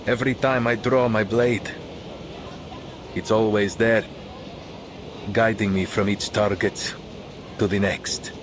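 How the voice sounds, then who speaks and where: An actor playing a brooding, grief-hardened male assassin in a game, speaking in a solemn, measured way. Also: fairly low pitch, flat delivery, noisy background. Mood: grim resolve. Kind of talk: dramatic visual novel dialogue. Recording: game audio with music behind. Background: game music.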